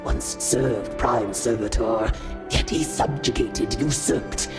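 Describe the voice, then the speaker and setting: A man's voice: medium pitch, over music, lightly acted. A man voicing a terse alien informant in a video game, speaking in clipped, broken phrases. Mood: grave, terse. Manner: clipped, halting, broken grammar. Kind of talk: video game cutscene dialogue.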